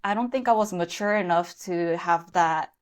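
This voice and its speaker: A young woman with a slightly low voice talks in a soft, reflective way as a podcast guest. She answers at an easy, conversational pace, pausing on fillers now and then. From born in the US, raised in Japan, Korea and the US. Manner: soft-spoken, thoughtful, with fillers and occasional laughs. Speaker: podcast guest, event planner. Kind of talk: casual interview talk.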